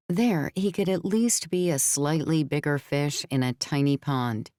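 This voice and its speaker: Female audiobook narrator: deep for a woman, crisp scripted storytelling, very dry studio recording. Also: a little mellow, no echo.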